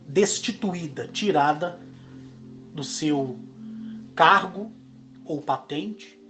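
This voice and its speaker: A Brazilian man in his forties, speaking in a slow, emphatic monologue broken into short phrases. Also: a little high. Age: 40s. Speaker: channel host. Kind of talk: monologue to camera.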